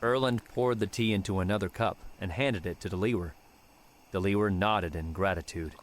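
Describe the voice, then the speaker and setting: A man's voice: deep voice, normal speed, slight background noise. A man reading scripted narration of an adventure novel as an audiobook narrator.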